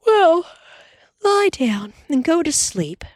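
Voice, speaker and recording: A woman reading aloud who voices a calm, practical frontier mother in a raised, soft, sleepy voice. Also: high voice, a little slow, no room sound, clean studio sound, pitched above the performer's own voice. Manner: soft, sleepy, then alarmed.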